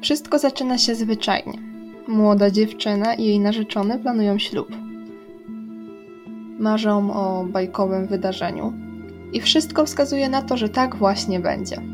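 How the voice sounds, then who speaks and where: A woman's voice: mid-range voice, measured pace. A young adult woman hosting a radio crime show, telling a story in scripted narration. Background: music.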